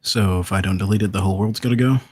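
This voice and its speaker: A man with a gravelly voice chatting casually as a podcast guest, blunt and drily humorous. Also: sits low, fairly even intonation, very fast, darkish tone, no echo. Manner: blunt, dry humour.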